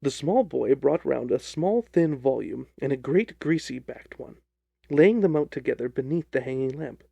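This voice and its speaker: Mellow, creaky male story narrator. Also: medium pitch, animated, normal speed, no echo. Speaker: audiobook narrator.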